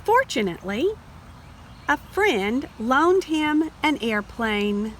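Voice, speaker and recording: A woman reads a storybook aloud for young children in a fairly high voice. Her pace is very slow and explanatory, with expressive weight on key words. A little noise sits behind her. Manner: explanatory, addressing young listeners directly. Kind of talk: read-aloud picture book narration.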